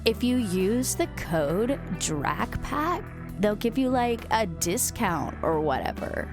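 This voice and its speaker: A woman's voice: mid-range voice, sing-song, takes it slowly, music in the background. A woman voicing a spoiled, ditzy young valley-girl vampire who gushes with lots of filler words. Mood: perky, entitled. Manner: gushing, filler-heavy valley-girl talk.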